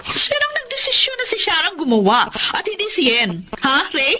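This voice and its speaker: An actress playing a domineering, hot-tempered office manager with a Filipino accent in a Tagalog radio drama, imperious and scolding. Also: female voice, extremely high pitch, huge pitch swings, moderate pace, noisy background, heavily acted. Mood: angry, scolding.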